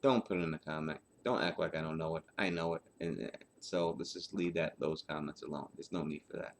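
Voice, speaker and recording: Low-voiced man doing informal commentary. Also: fairly quick. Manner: rambling, repetitive, colloquial. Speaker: host of book chapter breakdown.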